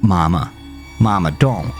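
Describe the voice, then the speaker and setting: A man's voice: deep voice, animated, takes it slowly, soft background music. A man playing a bitter, grieving farmer son in a horror audio drama, emotional and dramatic. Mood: anguished.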